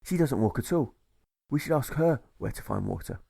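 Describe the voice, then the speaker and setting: A man's voice: mid-range voice, expressive, normal speed, creaky, mellow, slightly rough, no room sound, exaggerated voice. A man voicing a timid carp in a storybook fable, hesitant and fretful in manner. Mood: pondering.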